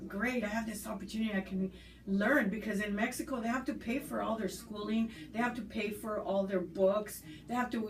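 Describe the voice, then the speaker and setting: Woman, earnest, thoughtful interviewee, fillers and restarts, faint background hiss. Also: mid-range voice. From United States. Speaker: school staff member interviewed. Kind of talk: conversational interview. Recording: roomy, distant mic, faint background noise.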